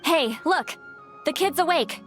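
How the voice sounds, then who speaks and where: A woman voicing an eager, friendly young villager in a video game, calling out in an excited manner. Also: sits high, measured pace, faint music behind. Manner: calling out brightly.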